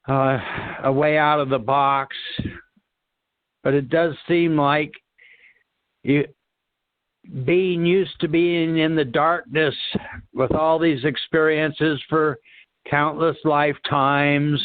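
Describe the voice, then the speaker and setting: Slow, halting man on a narrow-band line. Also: a little high, no room sound. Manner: halting, searching for words, long pauses. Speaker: discussion participant. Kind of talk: unscripted spiritual reflection.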